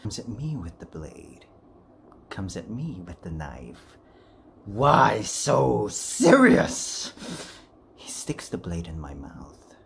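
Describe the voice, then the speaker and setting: A man acting a taunting, knife-wielding film villain in a slow, theatrical, sinister manner. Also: on the low side, wildly swooping pitch, gravelly, a little hiss or hum, heavily acted.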